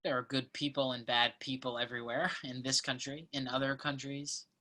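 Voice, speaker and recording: A woman reading the part of an earnest, thoughtful college student in a play, speaking in a measured, naturalistic way. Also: extremely low pitch, flat delivery, sharp tone. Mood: earnest, persuasive.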